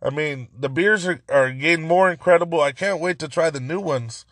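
A man hosting a casual podcast, his voice hoarse and raspy from yelling, talking excitedly and rambling with many restarts. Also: on the high side, normal speed, no echo, studio quality. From Central California. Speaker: podcast host. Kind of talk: casual solo podcast talk.

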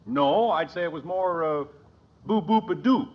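A man's voice: on the high side, measured pace, lightly acted. An actor playing a kindly, teasing middle-aged father in an old radio comedy, with a wry, mock-formal manner. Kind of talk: 1940s-50s radio sitcom acting. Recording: dull, hissy old radio recording.

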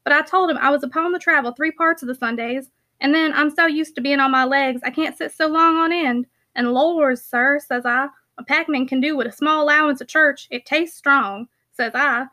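A woman's voice: high voice, normal speed, few pauses, bright, forceful tone. Woman voicing a folksy, earnest country packman. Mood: chatty, warm. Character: plainspoken rustic packman, man, good-hearted, loyal, talkative. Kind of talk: audiobook character voice.

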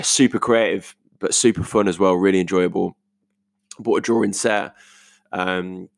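Low, croaky young British man. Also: dry recording, clean studio sound. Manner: rambling, informal, thinking aloud. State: croaky voice today. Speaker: podcast host. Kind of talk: casual solo voice note, reflective. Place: multi-purpose living room at home.

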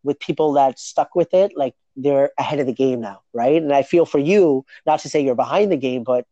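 A man's voice: mid-range voice, moderate pace, warm tone, dry recording, muffled recording. A man hosting a daily podcast, chatting casually in a rambling way and often tagging "right?" onto his points. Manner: rambling, keeps asking "right?". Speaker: podcast host.

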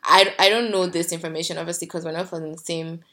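Woman podcast host from nigeria, kinda low voice, stumbles and repeats herself while thinking. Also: fairly even intonation, fast, bright, forceful tone. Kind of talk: casual chat.

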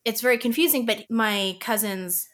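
A woman's voice: fairly high pitch, normal speed, fairly bright tone. Chatty young woman laughing through stories. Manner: chatty, laughs often, many fillers. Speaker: podcast guest. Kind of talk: casual podcast chat.

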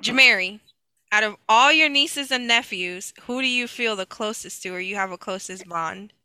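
A woman in her thirties hosting a casual family chat over a video call, hesitant with fillers and quick to laugh. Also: mid-range voice, pushed and bright, smooth, dry recording, low-quality recording. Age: 30s. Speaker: host asking family questions.

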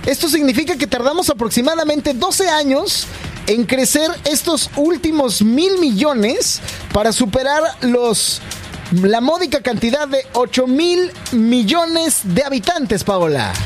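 A man hosting a radio show, chatting in a jokey, slangy, animated way. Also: extremely high pitch, a little slow, faint music behind. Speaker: radio host. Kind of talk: casual radio chat.

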